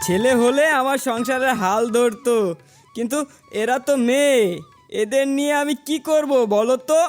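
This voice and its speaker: Male actor as cartoon fox father, very high male voice, harsh, domineering, scolding, music behind. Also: normal speed. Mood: displeased, threatening. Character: angry, harsh male fox father who scorns daughters. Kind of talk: dramatic children's cartoon dialogue. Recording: background music under voice.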